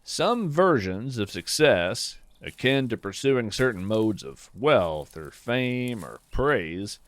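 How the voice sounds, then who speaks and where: Slow, expressive male essay reader. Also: fairly low pitch, dry recording. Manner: measured, musing, lingering on phrases. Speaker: podcast host and writer reading his essay. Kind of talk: slow reflective scripted narration.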